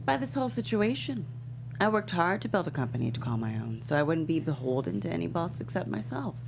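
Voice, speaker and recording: A woman's voice: on the low side, sing-song, brisk, gravelly, background noise. A woman at a script table read playing a proud, wounded and principled female talk show host. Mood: hurt, betrayed.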